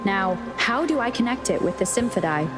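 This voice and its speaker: A woman voicing a calm, analytical young adventurer in a video game, musing to herself in a measured, matter-of-fact way. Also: medium pitch, music in the background, natural voice. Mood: focused, curious. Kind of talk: video game voice acting, inner monologue.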